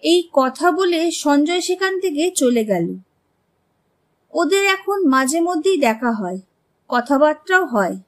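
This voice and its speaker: A woman narrating a scripted animated story as its storyteller. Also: sits high, slow pace.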